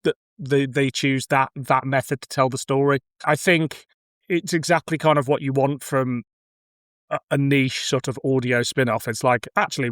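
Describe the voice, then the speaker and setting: A man with an average-pitched voice chats as a podcast co-host reviewing audio drama. His delivery is informal and blunt, at ease with swearing. The sound is dry, free of room echo. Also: a bit bright. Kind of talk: casual review discussion.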